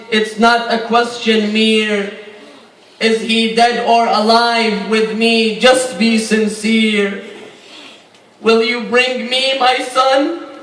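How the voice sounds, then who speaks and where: Male reciter, very high register, mournful devotional chant, drawn-out lines, echoing space. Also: fairly bright tone. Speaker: religious reciter. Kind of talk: melodic devotional lament recitation of poetry. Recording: echoing, distant-miked recitation.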